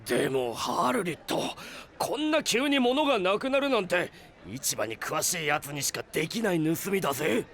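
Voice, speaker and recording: A male actor playing a good-natured, rough-spoken older market trader in an anime audio drama, blunt and familiar. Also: sits high, sing-song, moderate pace, a bit bright, full character voice. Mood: suspicious.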